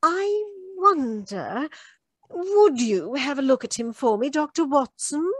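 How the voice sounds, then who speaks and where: A woman playing a clever, earnest Victorian gentlewoman with a formal, emphatic manner in an old-time radio mystery. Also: sits high, expressive, slow, dry recording. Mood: worried.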